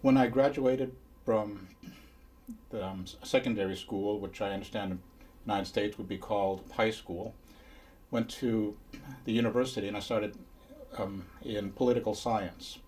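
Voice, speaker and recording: Low-voiced man recalling his career. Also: fairly even intonation, a little mellow. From Finland. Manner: measured, with pauses and restarts. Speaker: interviewee, criminologist. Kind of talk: reflective spoken memoir. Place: small indoor interview set.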